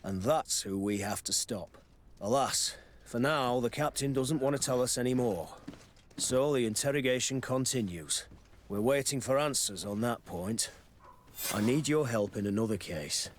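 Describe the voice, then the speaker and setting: An actor playing a serious, worried military quartermaster in a video game, with a formal delivery. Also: male voice, medium pitch, animated, moderate pace, a little hiss or hum, lightly acted. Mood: grave, concerned. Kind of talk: dramatic video game dialogue.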